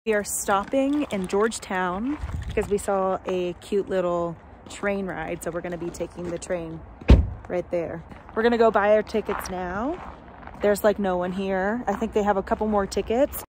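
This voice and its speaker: A woman's voice: mid-range voice, moderate pace, background noise. Cheerful young woman vlogging her trip. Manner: cheerful, chatty, excitable. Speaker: travel vlogger. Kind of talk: casual travel vlog narration. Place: outdoors in snow, in a car, hotel room. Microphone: phone held at arm's length. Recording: music playing under the voice. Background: background music.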